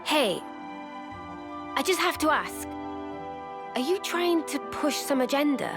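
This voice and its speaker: A woman's voice: high-pitched, expressive, slow, over music, exaggerated voice. A woman playing a level-headed, assertive young fighter in a video game, speaking directly. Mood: challenging. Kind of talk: video game cutscene acting.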